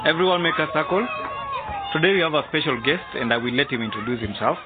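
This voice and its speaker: Male actor as lively football coach, tinny recording. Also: fairly high pitch, quick pace, loud music behind the voice, close to an everyday voice. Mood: hearty, instructive. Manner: encouraging, instructing a group. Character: hearty, orderly boys' football coach. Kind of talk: radio drama acting. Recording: noisy, tinny phone-like radio drama recording.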